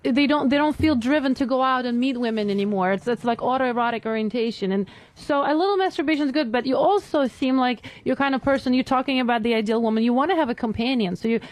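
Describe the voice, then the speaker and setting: Woman, foreign accent, high voice, dry sound, earnest advice-giver. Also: fast talker, no room sound, low-quality recording. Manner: earnest, explanatory, laughs easily. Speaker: dating psychologist guest. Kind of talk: advice on a radio talk show.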